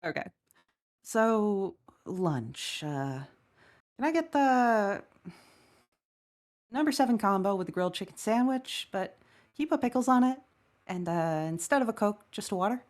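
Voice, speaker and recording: Woman playing a sardonic, animated, griping woman. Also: medium pitch, a little slow, long pauses. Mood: casual. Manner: sardonic, swearing, exaggerated griping. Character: Lucretia Evans, wry, griping, weary woman football-game player. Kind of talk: conversational table read, audio drama.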